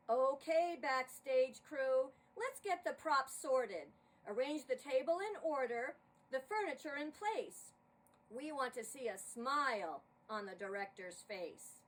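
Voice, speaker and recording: Woman putting on a grand, booming, drawn-out male theatre saviour. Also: lively intonation, far from the mic, exaggerated voice, poor audio. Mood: brisk, commanding. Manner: grandly declaiming, commanding. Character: tall, grand, commanding male theatre saint, rescuing the show. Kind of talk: theatrical storytelling performance.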